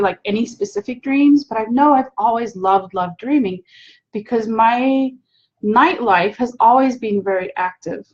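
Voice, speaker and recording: A woman from South Africa with a South African accent, a native Afrikaans speaker, giving a reflective, unhurried casual talk as host. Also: a little high, dull audio. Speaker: hypnotherapist host.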